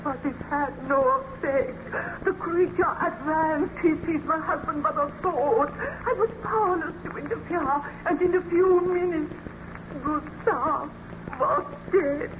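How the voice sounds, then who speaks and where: Widow: helpless, tremulous, very high voice, melodramatic; old radio play with heavy noise. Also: female voice, a little slow. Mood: shaken. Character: frightened, grieving peasant widow, pleading and helpless. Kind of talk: old-time radio drama acting. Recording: muffled, noisy old radio recording.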